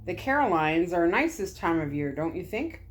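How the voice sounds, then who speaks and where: A woman's voice: on the low side, sing-song, normal speed. A woman voicing a smug, self-assured male hijacker pilot in a story, breezy and confident. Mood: smug, amused.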